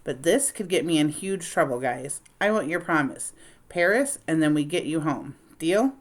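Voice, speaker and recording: A woman's voice: sits low, expressive, normal speed. Woman putting on exasperated, sarcastic young nanny. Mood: grudging. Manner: sarcastic, indignant.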